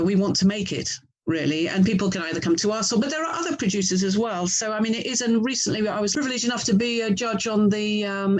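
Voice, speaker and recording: A woman's voice: medium pitch, low-quality recording. A middle-aged woman with an English accent talking casually as an interview guest, thoughtful and chatty. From England. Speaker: writer and audio drama producer, interview guest.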